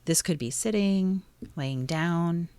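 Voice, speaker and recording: A woman narrating a guided meditation in a soothing, gently instructive way, pausing between short phrases. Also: on the low side, a little hiss or hum.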